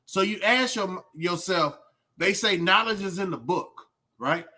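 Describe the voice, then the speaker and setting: A man's voice: high voice, a little slow, sharp tone. A man with a Southern US accent giving casual reaction commentary in a colloquial, emphatic way, full of rhetorical questions. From southern United States. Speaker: YouTube reaction host.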